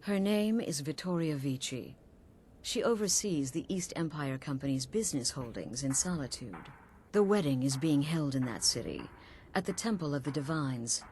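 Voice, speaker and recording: A woman's voice: sits low, expressive, moderate pace, darkish tone, slight background noise. An actress playing a sly, sultry female leader of assassins in a video game, purring and taunting theatrically. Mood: commanding. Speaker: video game character actor.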